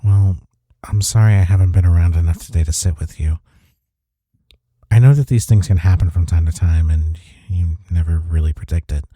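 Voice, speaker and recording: A man acts out a gentle, devoted boyfriend in an intimate audio roleplay. His voice is low and deeply mellow, recorded close and dry. He speaks softly and tenderly, reassuring a partner he cares for. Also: steady tone, normal speed, husky, dry recording. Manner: tender, reassuring, soft-spoken.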